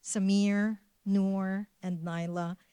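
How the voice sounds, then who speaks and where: A woman whose first language is Filipino, giving formal, measured closing thanks as a curator. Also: mid-range voice, flat delivery, warm tone, clean tone, no echo, studio quality. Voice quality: formal, measured delivery reading a list of thanks. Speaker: senior director of curatorial affairs. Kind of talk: prepared closing remarks.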